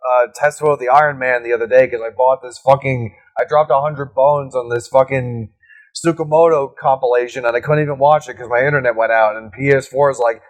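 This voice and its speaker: A man's voice: medium pitch, steady tone, a little fast, poor audio. A young adult man co-hosting a podcast in casual chat, crude and joking, with frequent swearing. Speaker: podcast co-host.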